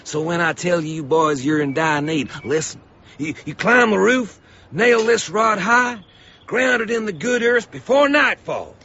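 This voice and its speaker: A man's voice: sits high, animated, a little slow, vocal fry, bright, forceful tone, slight background noise, exaggerated voice. A man playing an ominous, preacher-like lightning-rod salesman in a radio drama, urgent and theatrical. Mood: urgent warning. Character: fervent, ominous travelling lightning-rod salesman warning boys of storms. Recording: muffled radio drama with music.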